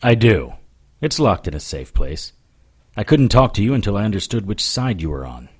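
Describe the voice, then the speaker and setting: A man's voice: fairly low pitch, sing-song, normal speed, slightly rough. A man playing a wry, defiant supernatural investigator who narrates his case in an audio drama, dry and firm. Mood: probing. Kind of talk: first-person detective audio drama.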